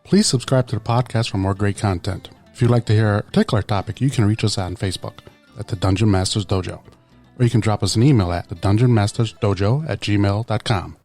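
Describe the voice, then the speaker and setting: A man's voice: fairly low pitch, fast talker, darkish tone. A man reading a scripted podcast outro as the announcer. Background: music.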